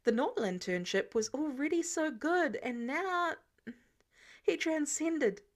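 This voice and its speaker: A woman reads fiction aloud in a fairly high voice. Her delivery is scripted storytelling, expressive and shaped like an audiobook. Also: a little slow. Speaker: fan fiction audiobook reader.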